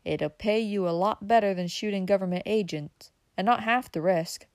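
A man's voice: sits high, moderate pace, dry recording. A woman voicing a scheming, ruthless city-bred male villain in an audiobook, speaking curtly and brusquely. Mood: persuasive. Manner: curt, brusque, swearing.

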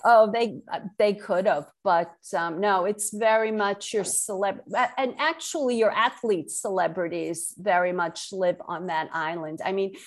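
A woman's voice: medium pitch, unhurried, few pauses. A middle-aged woman with a Brooklyn accent, speaking conversationally as an author and guest in an interview. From Brooklyn, New York.

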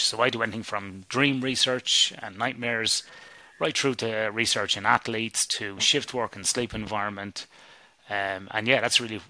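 A man's voice: fairly low pitch, sharp tone, dry recording, low-quality recording. A middle-aged Irish man, a sleep researcher, chatting casually as a podcast guest, swearing and laughing easily. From Ireland.

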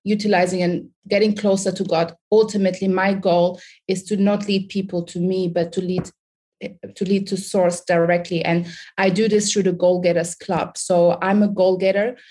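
A woman's voice: fairly low pitch, flat delivery, moderate pace, dark tone. A woman from Sudan, a confidence coach, talking as a guest on a podcast in an upbeat, encouraging way and laughing often. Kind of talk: casual interview chat.

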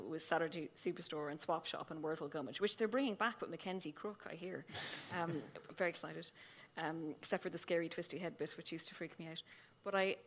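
Fast-talking Irish woman on a live panel. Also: fairly low pitch. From Ireland. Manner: animated, restarts often. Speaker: essayist on a panel. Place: small museum event room.

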